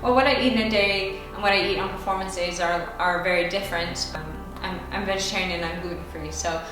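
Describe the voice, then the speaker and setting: A woman's voice: lowish voice, fairly even intonation, fairly quick. A young American woman, a ballet dancer, answering viewer questions in a chatty, upbeat way with lots of casual fillers. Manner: chatty and upbeat, with frequent "like" and "you know" fillers. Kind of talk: casual Q and A vlog. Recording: very echoey room, distant mic, music behind.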